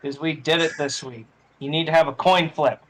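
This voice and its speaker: Male co-host, higher-pitched forceful bright voice, brash and combative, swears freely in casual banter. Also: steady tone, fairly quick. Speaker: podcast co-host.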